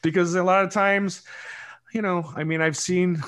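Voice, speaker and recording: A man's voice: sits high, fairly even intonation, moderate pace, a bit bright. A man hosting a casual podcast chat, rambling and self-deprecating, swearing freely. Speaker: podcast host.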